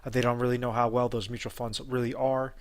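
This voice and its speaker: Man, retirement advisor, unscripted explainer, fillers and restarts, mid pitch. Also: flat delivery, quick pace. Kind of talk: explainer video talk.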